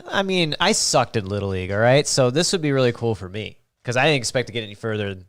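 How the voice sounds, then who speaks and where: An American man chats casually as a guest on a show. His mid-pitched voice is recorded dry, and he is jokey and readily pokes fun at himself. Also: animated, quick pace, fairly bright tone, smooth, no room sound. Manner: jokey, self-deprecating. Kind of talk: casual chat.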